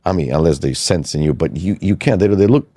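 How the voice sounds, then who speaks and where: Low, dark-voiced man. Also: expressive, brisk, slightly rough, no room sound. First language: Serbian. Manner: rambling, many restarts. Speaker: podcast host. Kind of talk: casual interview chat.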